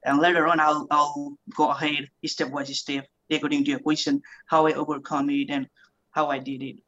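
A man's voice: fairly high pitch, level tone, moderate pace, a bit bright. A man from Nepal telling his story as a podcast guest, talking in a casual, rambling way and often repeating words. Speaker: guest investor. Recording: muffled lo-fi audio.

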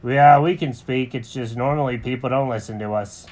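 A man's voice: medium pitch, moderate pace, darkish tone, close to an everyday voice. A man voicing an earnest, mild-mannered leader pigeon who explains things hesitantly in a children's story. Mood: apologetic.

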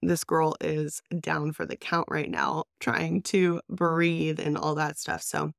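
A woman's voice: low-pitched, slow, creaky, no room sound, studio quality. Congested, upbeat woman podcast host. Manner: upbeat, enthusiastic and chatty. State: congested, stuffy nose. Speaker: podcast host and brand designer. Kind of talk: solo business podcast talk.

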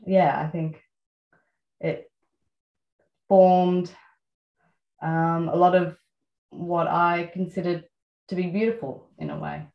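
Low-voiced Australian woman, echoey sound. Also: steady tone, gravelly, dull audio. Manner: reflective, many fillers and pauses. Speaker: guest writer. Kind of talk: relaxed interview conversation.

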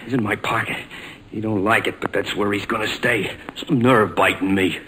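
An actor playing an excitable, grumbling American sidekick pilot, blunt and slangy, in 1940s radio adventure style. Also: male voice, on the low side, moderate pace. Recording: noisy vintage 1940s radio recording.

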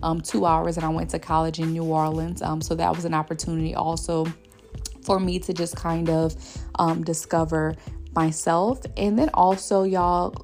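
A woman's voice: sits low, little pitch movement, normal speed, darkish tone, faint music behind. Chatty woman podcaster. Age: 20s. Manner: chatty, addresses listeners as y'all, many ums. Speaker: podcast host. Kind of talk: casual solo podcast chat.

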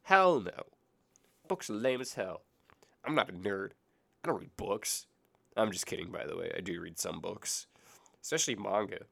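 Low creaky young man with a stuffy nose. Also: lively intonation, moderate pace, long pauses, a bit bright. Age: around 20. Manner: rambling, goes off on tangents, self-correcting, joking sarcasm. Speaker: podcast host. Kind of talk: casual solo podcast chat. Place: small basement room.

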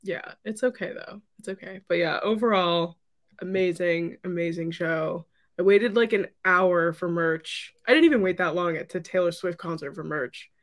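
A hoarse young woman co-hosting a podcast, chatting excitedly and rambling with lots of "like". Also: fairly low pitch, normal speed. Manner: excited, rambling with many likes. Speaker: podcast co-host. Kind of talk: casual chat.

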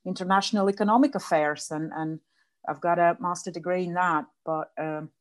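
A woman's voice: fairly low pitch, fairly even intonation, brisk. A woman in her thirties with a Latvian accent, a guest answering interview questions in a hesitant way with many fillers and restarts. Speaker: guest, association president. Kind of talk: casual interview.

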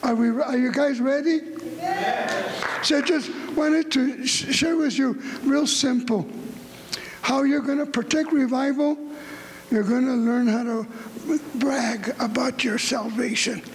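A man with a very high voice preaches slowly and deliberately, hammering the same phrase again and again and climbing into shouts. His voice rings out with a long reverb in a big church hall. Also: fairly even intonation, a little hiss or hum. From United States. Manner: fervent, repetitive, rising to shouts. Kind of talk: shouted revival sermon.

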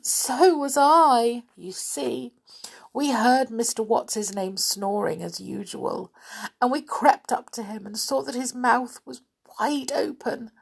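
A tearful, remorseful fairy girl, as a woman storyteller puts her on, her voice pitched high and breathy. She sobs and pleads through her words in a storybook reading. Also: slow pace, full character voice.

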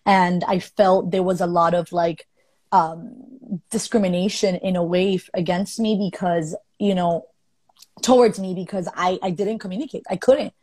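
A woman's voice: fairly low pitch, fairly even intonation, normal speed, a little mellow, no echo. A young woman from Colombia, speaking earnestly and thoughtfully in casual conversation, with many fillers. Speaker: guest.

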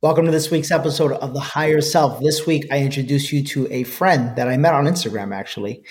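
A man's voice: medium pitch, darkish tone. Earnest man hosting a podcast. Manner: earnest, long rambling questions. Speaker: podcast host. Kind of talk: casual interview hosting.

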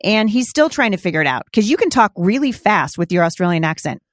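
A woman's voice: mid-range voice, big pitch swings, quick pace, dry recording, studio quality. A middle-aged woman hosting her podcast, upbeat, chatty and encouraging toward her listeners. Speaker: podcast host.

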